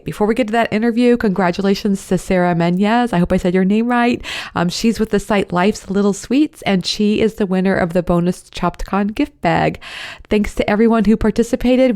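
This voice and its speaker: A woman's voice: medium pitch, a little mellow. A woman hosting a podcast, chatty and upbeat, with lots of casual asides. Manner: chatty, upbeat, many fillers like "you know". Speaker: podcast host. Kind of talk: podcast intro and interview.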